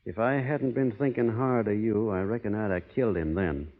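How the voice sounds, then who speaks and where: A man's voice: lowish voice, normal speed, close to an everyday voice. An actor playing a stoic, honor-bound American cowboy foreman in a radio western, plain-spoken and restrained. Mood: grave, resolute. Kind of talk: 1940s radio western acting. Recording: muffled vintage radio broadcast, hall reverb.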